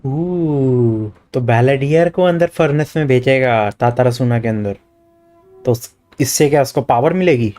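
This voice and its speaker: Very fast-talking, mellow Indian man. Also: mid-range voice, soft background music. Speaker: game streamer. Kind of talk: casual live gameplay commentary in Hindi mixed with English.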